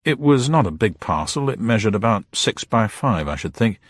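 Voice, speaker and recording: A man acting out a polite, guarded gentleman sleuth in a detective audiobook. He answers in clipped phrases with a husky tone, his pitch lifting and moving freely as he bluffs. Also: very animated, moderate pace, dry recording, studio quality. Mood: guarded, bluffing. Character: amnesiac gentleman detective bluffing carefully under questioning.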